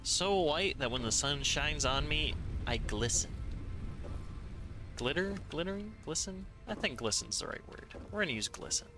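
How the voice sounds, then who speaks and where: A man's voice: a little high, lively intonation, normal speed, music in the background. A man streaming a factory-building game live, thinking aloud with playful asides to himself. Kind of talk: live gameplay commentary.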